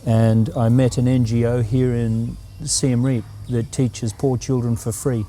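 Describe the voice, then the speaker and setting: Guest spot: middle-aged Australian man, dark and even, reflective. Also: medium pitch, a little hiss or hum. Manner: earnest, reflective, unhurried. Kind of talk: interview answers.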